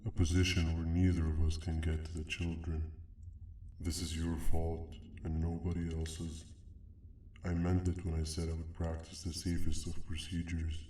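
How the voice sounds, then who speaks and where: Bitter, stern game monster. Very low, stiffly formal, echoing and distant. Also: male voice, slight background noise. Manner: stiff, pedantic, deadpan. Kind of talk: video game character dialogue. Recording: roomy, distant, faint music behind.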